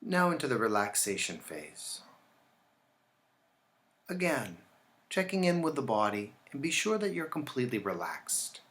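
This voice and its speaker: A man teaching yoga nidra, leading a guided relaxation in a calm, instructional manner with deliberate pauses. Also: medium pitch.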